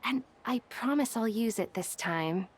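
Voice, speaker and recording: A woman's voice: on the high side, expressive, a little slow, husky. A woman voicing a shy, sentimental, bookish young woman in a video game, speaking with careful, hesitant phrasing. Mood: bashful. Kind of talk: animated video game voice acting.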